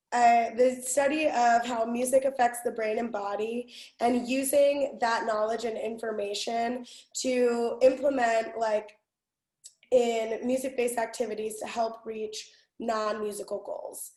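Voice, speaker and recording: A young woman with a fairly high voice talks in a relaxed, chatty way over a video call. Her phrases often fade off softly at the end. The sound is roomy, with a noticeable echo around her. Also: takes it slowly. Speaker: music therapist. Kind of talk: interview answers on a video call.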